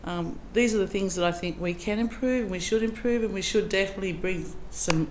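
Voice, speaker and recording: A woman's voice: mid-range voice, a little fast, background noise. A middle-aged woman giving a chatty, plain-spoken candidate speech at a public forum. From Australia. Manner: plain, chatty, with frequent ums. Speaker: council candidate.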